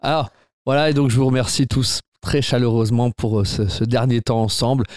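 Man playing a halting, emotional retiring company boss. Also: mid-range voice, fairly even intonation, darkish tone, no echo, clean studio sound, lightly acted. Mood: solemn, moved. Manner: halting, stammering, repeats his words. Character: retiring male company boss, around sixty, touched and hesitant. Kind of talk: improvised sketch comedy.

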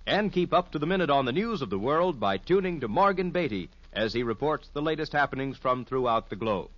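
A man's voice: medium pitch, very animated, brisk, no echo. An American man reading network promotions in 1950s radio advertising style. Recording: dry, muffled old radio broadcast.

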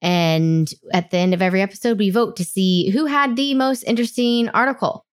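A woman's voice: medium pitch, a little fast. A woman hosting a podcast who tells stories in casual chat, laughing often and acting out quotes. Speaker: podcast host. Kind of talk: casual chat, animated storytelling.

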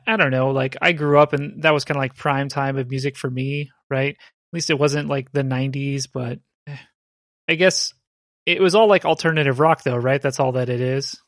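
Male podcast co-host, mid-pitched, chatty explainer, dry close sound. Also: no echo. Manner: chatty, explaining with rhetorical "right?". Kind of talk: casual chat.

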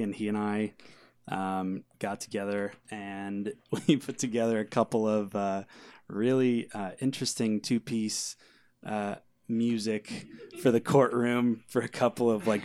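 A man's voice: lowish voice, little pitch movement, moderate pace, darkish tone, clear, resonant tone. A man talking casually and in a rambling way as a band member in a group interview. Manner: rambling, easily sidetracked.

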